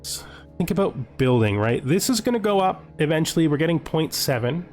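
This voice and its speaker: A man giving casual video game commentary, thinking aloud as he deliberates his choices. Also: on the high side, big pitch swings, a little mellow. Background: music.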